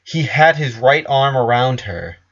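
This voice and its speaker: A man reading a scripted story as narrator, in a measured way with pauses between phrases. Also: medium pitch, animated, fairly bright tone. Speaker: fan fiction narrator. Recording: dull lo-fi voice recording.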